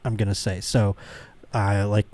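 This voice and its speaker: A man's voice: lowish voice, level tone, measured pace, a little mellow. A middle-aged man hosting a comedy podcast, chatting casually in a rambling, profane way.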